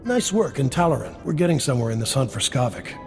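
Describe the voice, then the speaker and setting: A man playing a gruff, wry and harried underworld boss in a video game. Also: medium pitch, very animated, fast talker. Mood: hearty, wry. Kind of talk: scripted video game acting. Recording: game music behind the voice.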